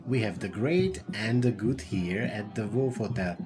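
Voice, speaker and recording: An actor playing a genial, flattering older hotel manager in a radio play, courteous and gently wry. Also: male voice, fairly low pitch, measured pace, crowd noise. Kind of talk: radio drama acting.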